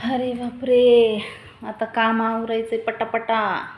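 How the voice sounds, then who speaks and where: Middle-aged village-mom vlogging at home, highish voice, bit of background noise, bossy instructing chat. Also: female voice, flat delivery, slow. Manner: instructing, chiding. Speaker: family vlogger, mother. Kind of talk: casual household chat and vlog narration.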